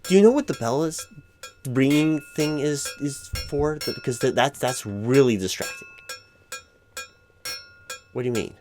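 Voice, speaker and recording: A man's voice: medium pitch, expressive, unhurried, pauses often, darkish tone, soft background music, natural voice. A man playing a distracted, flustered corporate hiring manager in a comedic roleplay, speaking in wordy, stammering office formality. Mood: uneasy, protesting. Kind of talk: comedic one-sided ASMR-style roleplay, spoken aloud.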